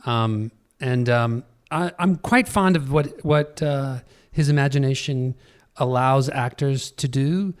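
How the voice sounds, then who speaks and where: A man with a medium-pitched voice talks on a live panel as a theater director. He moves haltingly, pausing and searching for the next word.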